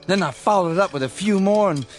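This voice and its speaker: A man's voice: high-pitched, brisk, faint music behind, close to an everyday voice. An actor with a rural American accent playing a cocky, folksy young country man in a radio drama. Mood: easygoing, cocky.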